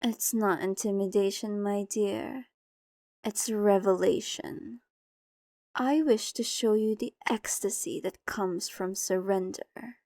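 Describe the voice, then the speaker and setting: A woman's voice: fairly high pitch, a little mellow, no room sound, studio quality. A woman playing an ancient, possessive female vampire in an intimate ASMR roleplay, condescending, coaxing and theatrical. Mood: seductive menace.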